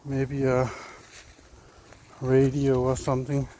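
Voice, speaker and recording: Slow-talking Danish man on a forest walk. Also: mid-range voice, completely flat delivery, dark tone. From Denmark. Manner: unhurried, thinking aloud, pausing and searching for words. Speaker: vlogger. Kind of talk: casual walking vlog. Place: outdoors on a forest trail. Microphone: handheld phone. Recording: outdoor phone recording with clear background noise.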